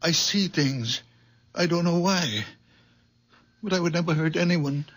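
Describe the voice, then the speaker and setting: A gentle, troubled very old man from a 1970s radio mystery, with healing hands. He talks in halting, stammering phrases full of apology. His voice is husky. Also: fairly high pitch. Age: older. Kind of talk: 1970s radio drama acting.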